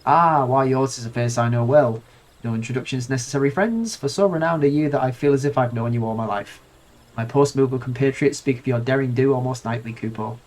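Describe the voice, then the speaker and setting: A man's voice: medium pitch, fairly quick, a little mellow, slight background noise, natural voice, poor audio. A man reading a harried moogle courier. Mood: fussy. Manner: flowery, exasperated read-aloud dialogue. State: nasal from a bad cold. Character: fussy, harried male moogle letter courier.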